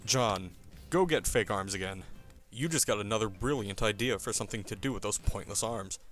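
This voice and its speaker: A man's voice: lowish voice, a bit bright. A man reading scripted comic narration in a wry, theatrical manner.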